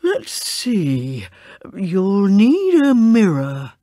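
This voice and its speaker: A woman doing a slow, wise old priest. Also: medium pitch, huge pitch swings, warm tone, dry recording, clean studio sound, heavily acted. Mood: grave, advising. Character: wise old male village priest, measured.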